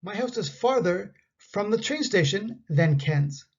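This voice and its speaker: A Canadian man with a husky, breathy voice reads out a grammar lesson for learners of English, spacing each example sentence out. The recording has a slightly dull, muffled sound. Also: high-pitched, animated. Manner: slow, carefully enunciated example sentences. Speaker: English teacher.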